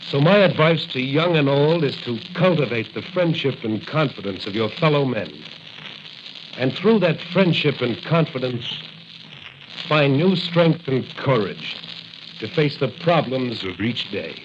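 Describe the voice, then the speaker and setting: An actor playing a righteous crime-fighting hero in a declamatory, commanding 1940s radio style. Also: male voice, medium pitch, expressive, a little slow, close to an everyday voice. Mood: earnest. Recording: hissy, dull 1940 radio recording.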